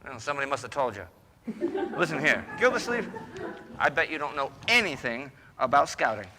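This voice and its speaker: A man's voice: medium pitch, sing-song, fairly quick, crowd noise, natural voice. A man playing a folksy, stubborn, wisecracking husband in an old-time radio comedy, boastful and loose with his grammar. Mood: blustering, stubborn. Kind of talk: 1940s radio comedy acting. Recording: roomy hall reverb, noisy background.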